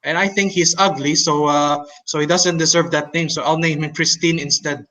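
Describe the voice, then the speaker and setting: A man with a Chinese and Tagalog accent, talking casually on a podcast and pausing often with fillers and restarts. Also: a little high, steady tone, sharp tone. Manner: hesitant, many fillers and restarts, mocking laughs. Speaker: co-host.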